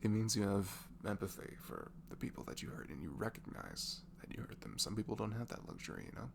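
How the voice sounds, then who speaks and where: A young man softly leaving an intimate, improvised comfort message in ASMR style, tender and reassuring, murmuring and often slipping into a breathy whisper. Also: lowish voice, animated, fast talker. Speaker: amateur audio performer playing a comforting friend. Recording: clearly noisy home recording with hum.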